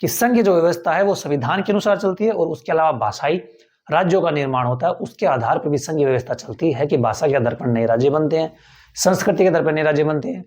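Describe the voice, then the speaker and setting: A man's voice: on the high side, quick pace, darkish tone. A male teacher giving a tenth-grade civics lecture, repeating key points and asking the class rhetorical questions.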